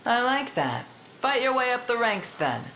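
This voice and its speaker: A woman's voice: on the high side, normal speed, a little hiss or hum. A woman voicing a cocky, battle-hungry young fighter with a swaggering drawl in a fantasy video game. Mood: cocky, teasing. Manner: swaggering, teasing, relaxed drawl. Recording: music behind the voice.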